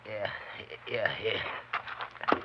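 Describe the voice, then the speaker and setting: An actor playing a shifty, nervous outlaw drifter with folksy, evasive speech in a 1950s western radio drama. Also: male voice, on the low side, drawn-out words, creaky, exaggerated voice. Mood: frightened. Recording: muffled, noisy old 1950s radio recording.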